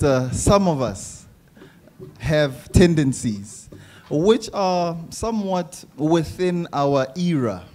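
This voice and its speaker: A young man speaking for the youth on a panel, earnest and measured. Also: a little high, darkish tone. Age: young adult. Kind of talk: panel discussion.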